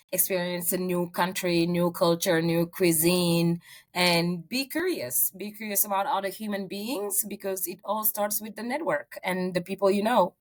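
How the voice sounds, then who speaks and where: A woman from Senegal with a fairly low voice, talking through her career story as an interview guest. She speaks earnestly, with frequent um and uh fillers. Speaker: real estate agent, guest. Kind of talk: interview answers.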